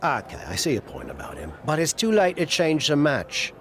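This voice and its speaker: Wry, animated wrestling manager. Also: male voice, fairly high pitch, normal speed, a little hiss or hum, full character voice. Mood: firm, coaxing. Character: wry older male wrestler turned manager, blunt and persuasive. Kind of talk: video game cutscene dialogue.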